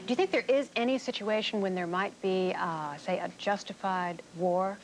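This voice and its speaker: A woman's voice: mid-range voice, moderate pace. A woman, speaking as a television host who asks questions and reads introductions. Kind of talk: interview questions and scripted introductions. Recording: faint hum and background noise.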